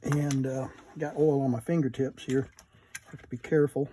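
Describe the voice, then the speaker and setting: A man hosting a casual hands-on repair video, unhurried and murmuring to himself as he works. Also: mid-range voice, little pitch movement, warm tone, slight background noise. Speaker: host of a repair video channel.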